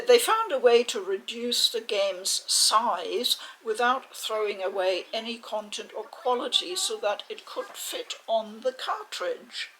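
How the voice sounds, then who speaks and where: A woman giving a casual, chatty unboxing commentary in a measured, hesitant way, with a creaky voice. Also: fairly high pitch, a bit bright, low-quality recording. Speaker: video game unboxing host. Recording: faint background noise.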